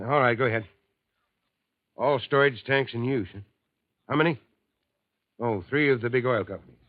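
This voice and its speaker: An actor playing a brisk fire chief. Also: male voice, mid-range voice, close to an everyday voice. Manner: clipped, businesslike. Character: brisk fire chief on the phone, middle-aged man. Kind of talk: 1950s radio drama acting. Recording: dull old radio recording.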